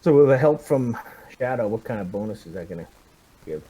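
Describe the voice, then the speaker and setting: Man, fairly low pitch, casual tabletop game talk, hesitant, thinking aloud. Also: lively intonation, brisk, mellow, breathy edge, rough recording. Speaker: tabletop roleplaying game player. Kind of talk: casual game talk, narrating his character's actions.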